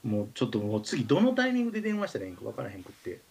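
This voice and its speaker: A man's voice: mid-range voice, rapid-fire, creaky, slightly rough. A man with a Kansai accent chatting casually as a radio host, telling comic anecdotes with acted-out dialogue. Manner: animated storytelling with acted-out dialogue. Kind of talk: casual comedic anecdote chat.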